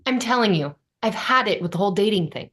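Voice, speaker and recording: A woman's voice: medium pitch, expressive, fast, fairly bright tone. A woman playing a wry, exasperated young woman in a sitcom script read. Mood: fed up.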